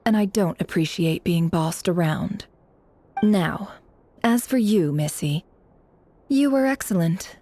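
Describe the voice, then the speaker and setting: Haughty female boss for a fantasy game: lowish, velvety-dark, theatrical, dryly sarcastic. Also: a little hiss or hum. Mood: imperious, teasing. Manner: imperious, dryly sarcastic, measured. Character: haughty, teasing, theatrical female boss, world-weary and bossy. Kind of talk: video game character acting.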